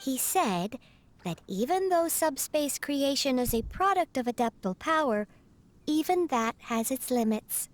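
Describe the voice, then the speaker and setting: Video game role: a polite little teapot spirit butler, high-pitched, stately and a touch forgetful. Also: female voice, expressive, measured pace. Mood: measured, helpful. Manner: formal, stately, slightly absent-minded. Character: small courteous teapot spirit butler in bird form. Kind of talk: video game character dialogue.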